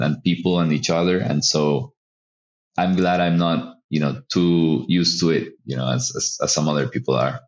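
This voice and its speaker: A man from El Salvador, a podcast guest answering in a relaxed, hesitant way with many fillers. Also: a bass voice, muffled recording. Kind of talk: casual interview answers.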